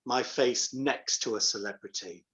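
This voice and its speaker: A man's voice: mid-range voice, a little slow, a bit bright. A middle-aged or older man chatting casually and enthusiastically as an interview guest, repeating phrases for emphasis. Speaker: pantomime dame, interview guest. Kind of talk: casual chat.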